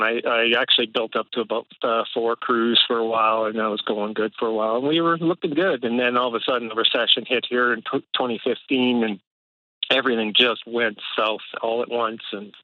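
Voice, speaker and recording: A middle-aged man calls into a show and tells his story haltingly, full of fillers and restarts. His voice sits in a middle range. The line sounds dull and dry, like a phone call. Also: mid-range voice, flat delivery, dry recording. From Canada. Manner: hesitant, many fillers and restarts, nervous laughs. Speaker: caller. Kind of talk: casual phone-in conversation.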